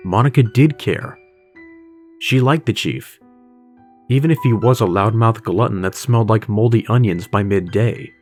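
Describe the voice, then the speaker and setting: A man's voice: fairly low pitch, moderate pace, darkish tone. A man reading scripted story narration as the narrator of an audio horror story. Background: music bed with guitar.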